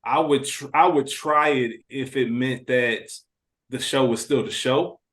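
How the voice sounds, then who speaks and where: A man's voice: medium pitch, steady tone, a little slow, fairly bright tone. A man in his thirties chatting casually as a podcaster, slangy and profane, posing questions as he thinks aloud. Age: 30s. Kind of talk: casual chat.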